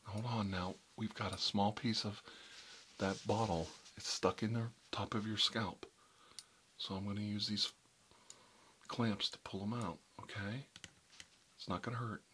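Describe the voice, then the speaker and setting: Soothing whispering man, bright tone. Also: lowish voice, fairly even intonation, unhurried, long pauses, slight background noise. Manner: soft, soothing, reassuring, often whispered. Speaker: roleplay caregiver. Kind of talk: whispered medical roleplay.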